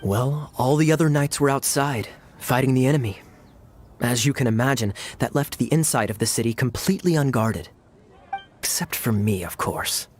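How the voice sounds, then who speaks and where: A man playing a suave, sly knight captain in a video game, smooth and teasing with theatrical pauses. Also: mid-range voice, a little hiss or hum, lightly acted. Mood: sly, conspiratorial. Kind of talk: scripted video game dialogue.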